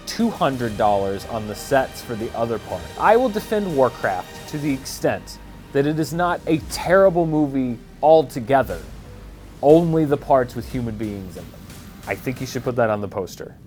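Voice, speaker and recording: A man's voice: mid-range voice, animated, moderate pace, darkish tone, faint music behind. A man giving casual, opinionated commentary on movies.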